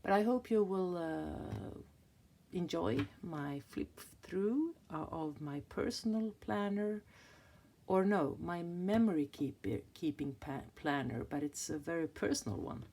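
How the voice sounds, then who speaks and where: A woman's voice: on the low side, animated, a little slow, creaky, dark tone, a little hiss or hum. Hesitant Swedish woman in her fifties. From Sweden. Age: 50s. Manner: hesitant, searching for words, many restarts. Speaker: planner vlogger. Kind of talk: casual flip-through chat. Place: indoors at a table, hands on a planner.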